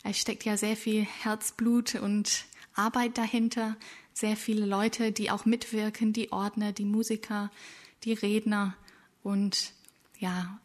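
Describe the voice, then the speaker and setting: Woman, event moderator, friendly announcer, many fillers. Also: mid-range voice. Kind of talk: moderating a prayer session.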